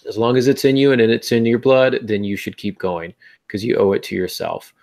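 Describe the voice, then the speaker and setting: Guy hosting a podcast, low creaky voice, chatty and encouraging, talks right at you. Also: fairly even intonation, a little fast, darkish tone. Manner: encouraging, addresses listener directly. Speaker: podcast host. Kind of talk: conversational advice to writers.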